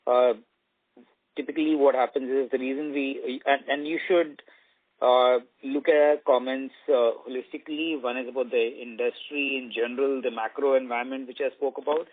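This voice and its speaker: A man with a mid-pitched voice answers as a senior executive on an earnings call. He explains step by step, punctuating points with tag questions. The sound is thin and phone-like. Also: fairly even intonation, normal speed. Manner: explanatory, punctuated with "right" tags. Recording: tinny phone-line conference audio.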